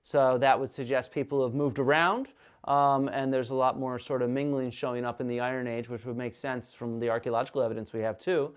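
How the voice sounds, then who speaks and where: A man hosting a casual interview over a video call, chatty and quick to laugh, often stumbling and restarting his sentences. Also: medium pitch, smooth. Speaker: host.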